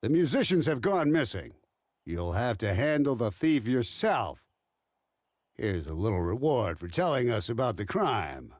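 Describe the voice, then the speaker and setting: An actor playing a brusque, dismissive city gate guard in a game cutscene, curt and officious. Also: male voice, medium pitch, big pitch swings, measured pace, darkish tone. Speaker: guard character. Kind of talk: video game cutscene acting.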